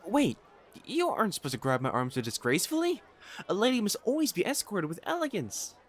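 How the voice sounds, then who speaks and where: Guy voicing a snooty young matchmaker guy, high voice all over the place, formal and flustered, romance roleplay audio. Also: huge pitch swings, fairly quick, heavily acted. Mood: scolding, flustered. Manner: pompous, formal diction, stammering when flustered. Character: haughty, flustered, lovestruck young male divine matchmaker in imperial China.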